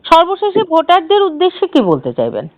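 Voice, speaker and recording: Narrow phone-like sound, woman, high voice, formal news interviewer. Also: wildly swooping pitch, a little fast, no echo.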